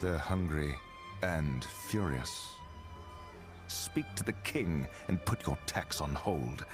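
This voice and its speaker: An actor playing a grim, blunt adventurer in a fantasy game, speaking with stern urgency. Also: male voice, rumbling low, animated, slow pace, heavily acted. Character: grim, blunt male adventurer warning of unrest. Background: game music.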